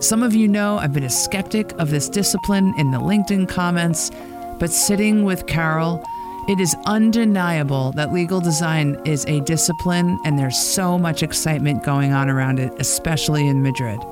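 A woman's voice: low-pitched, moderate pace, over music. A woman hosting a podcast in an informal, effusive, joking way, in casual conversation. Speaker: podcast host.

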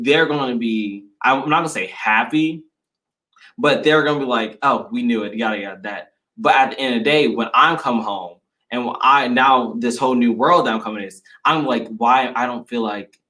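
Earnest young man, mid-pitched voice. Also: pushed and bright, low-quality recording. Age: young adult. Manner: earnest, reflective, halting. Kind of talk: casual chat.

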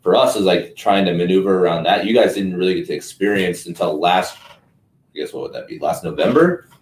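A man with a low, deep voice talks shop like a coach, loose and full of fillers. He sounds set back from the mic in an echoey room. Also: a little fast, distant mic. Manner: conversational, coaching, filler-heavy. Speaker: strength coach and host. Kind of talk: casual podcast chat.

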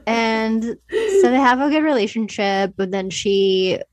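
A woman's voice: a little high, expressive, unhurried, no echo. A woman co-hosting a podcast, chatting casually about film in an informal, conversational way peppered with filler words. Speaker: podcast co-host.

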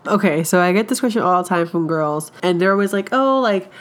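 A woman's voice: fairly low pitch, a little fast. A young woman, a wellness coach, talking casually on her podcast with a stuffed-up nasal voice from a cold, full of filler words. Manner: chatty, lots of filler words.

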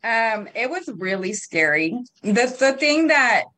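A woman's voice: a little high, big pitch swings, takes it slowly, bright, forceful tone. A woman in her thirties talking casually as an interview guest, chatty with frequent laughs and fillers. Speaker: guest, marketing and PR company founder.

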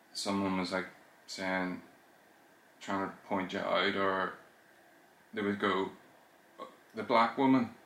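A man's voice: low-pitched, a bit bright. A man co-hosting a podcast, talking hesitantly with lots of fillers and tag questions. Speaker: podcast co-host. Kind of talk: casual chat. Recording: echoey room.

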